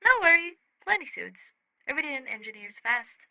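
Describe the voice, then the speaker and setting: A woman's voice: high-pitched, sing-song, normal speed, creaky, sharp tone, dry recording, voice pushed up, exaggerated voice, rough recording. A woman voicing an eager, affectionate alien friend who speaks in clipped, broken English in an audio story. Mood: reassuring. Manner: clipped broken grammar, repeats words. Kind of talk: podfic character voice.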